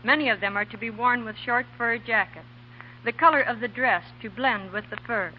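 A woman's voice: on the high side, moderate pace. A woman presenting as a fashion editor on 1940s radio, reading a script formally and precisely. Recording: muffled, noisy 1940 radio broadcast.

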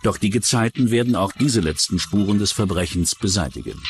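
A man reading scripted true-crime narration as a documentary narrator. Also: mid-range voice. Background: music.

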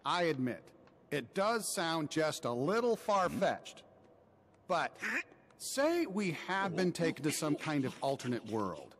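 Cowboy toy sheriff: high, animated, earnest, pleading, in a reverberant hall. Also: male voice, takes it slowly, a little hiss or hum. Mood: reasoning. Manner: pleading, emphatic. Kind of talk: animated video game dialogue.

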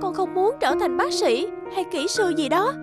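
Cartoon teen girl, really high soft voice, hesitant n sincere, northern vietnamese accent, music behind. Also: sing-song, normal speed. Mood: earnest. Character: anxious, earnest teenage girl dreaming of baking. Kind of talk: animated cartoon dialogue.

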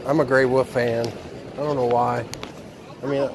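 A man's voice: mid-range voice, fairly even intonation, moderate pace. Man vlogger, easygoing walkthrough commentary, phone mic, noisy exhibition hall. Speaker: vlogger touring trailers. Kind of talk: casual walkthrough chat. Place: indoor convention hall RV show.

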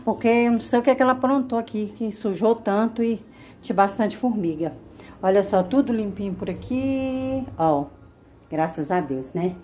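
A chatty middle-aged woman from Brazil talks straight to her viewers as she works, her voice at an ordinary middle pitch. She keeps checking in with little tag questions. She is recorded indoors in a small home kitchen by a wide camera set in the corner. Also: darkish tone. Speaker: home vlogger. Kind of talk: casual chat to camera. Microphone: wide camera mounted in kitchen corner.

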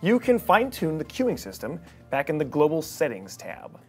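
Man with lively pitch presenting a tutorial. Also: moderate pace, breathy edge, soft background music. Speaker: training video presenter. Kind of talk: scripted tutorial narration.

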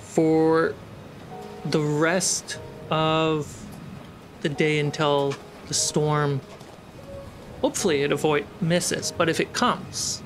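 A man's voice: on the high side, fairly even intonation, slow, long pauses, over music. A man narrating a tabletop roleplaying game as game master, with ambient sound behind him. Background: ambient sound effects.

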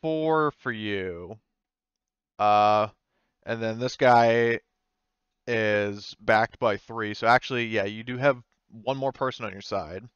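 A man casually running a tabletop sci-fi game as game master, thinking aloud in a halting, offhand way. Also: on the low side, a bit bright, smooth, no room sound. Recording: muffled voice-chat audio.

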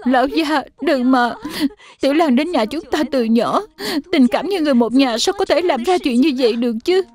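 An actress playing a meek wife of a wealthy master, pleading in an animated drama. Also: female voice, sits high, normal speed.

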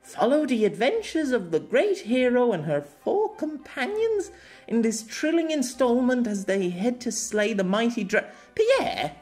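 A man's voice: extremely high pitch, wildly swooping pitch, a little slow, a little mellow, faint music behind, pitched above the performer's own voice, full character voice. A man voicing an imperious, theatrical young woman in an audiobook, speaking in a formal, pompous manner. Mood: teasing. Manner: formal, pompous, overly dramatic. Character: youthful silver-haired woman, imperious, theatrical, childishly gleeful. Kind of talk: amateur audiobook character acting.

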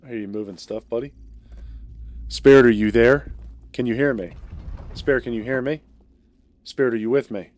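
A man's voice: medium pitch, moderate pace, long pauses, darkish tone, a little hiss or hum. A man streaming a horror game, chatting casually with a friend and muttering to himself with sighs. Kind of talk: casual gaming chat.

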